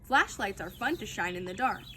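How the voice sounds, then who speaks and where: A woman reading a children's book aloud as a storytime reader, in an expressive, emphatic storytelling manner. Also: medium pitch, moderate pace, slight background noise.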